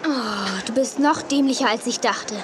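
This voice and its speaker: A woman's voice: a little high, moderate pace, background noise, close to an everyday voice. An actress playing a cheeky, blunt young girl in a children's radio play. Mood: blunt, teasing.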